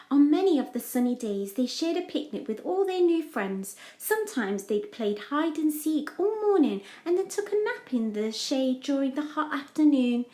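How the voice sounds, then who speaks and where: Female children's storyteller, higher-pitched, expressive and deliberate, recorded in a reverberant room. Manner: deliberate, emphatic phrasing for young listeners. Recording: echoey room.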